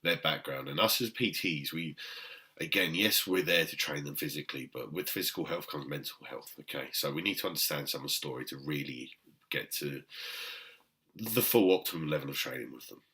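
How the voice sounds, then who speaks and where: A British man, a personal trainer with a very deep voice, talking emphatically to camera with frequent "okay?" asides and fillers. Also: fairly quick, bright, forceful tone. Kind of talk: unscripted talk to camera.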